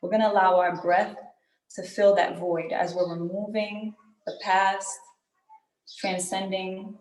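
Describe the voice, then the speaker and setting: A woman leading a guided group meditation in an unhurried, soothing way, pausing often between phrases. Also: mid-range voice, little pitch movement, darkish tone. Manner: unhurried, soothing instruction with pauses and check-in tags. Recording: roomy echo with music behind.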